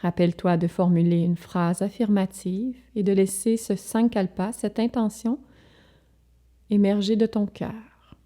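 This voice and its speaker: Slow, mellow woman guiding deep relaxation. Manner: calm, soothing, measured phrases with pauses. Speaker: yoga nidra instructor. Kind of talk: guided relaxation meditation.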